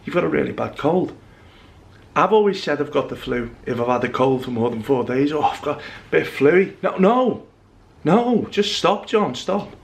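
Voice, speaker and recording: A man's voice: fairly high pitch, animated, moderate pace, gravelly, breathy edge. Chatty man vlogging. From England. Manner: chatty, self-mocking, rambling. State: recovering from flu. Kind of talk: casual vlog chat to camera.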